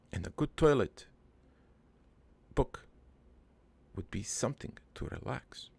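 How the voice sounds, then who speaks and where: A man voicing a middle-aged, foreign-born cartoon father who rambles through his life story in a deadpan, halting, improvised monologue with a gravelly voice. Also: on the high side, heavily acted. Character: rambling, crude, wistful foreign-born immigrant father named Joey.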